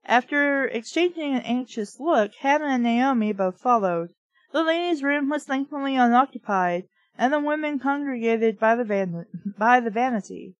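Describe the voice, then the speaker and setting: Blunt, sweary high-pitched woman reading aloud. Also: animated, moderate pace, dry recording, muffled recording. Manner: opinionated, argues back at the text. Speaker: host reading and critiquing a book aloud. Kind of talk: read-aloud with off-the-cuff sarcastic commentary.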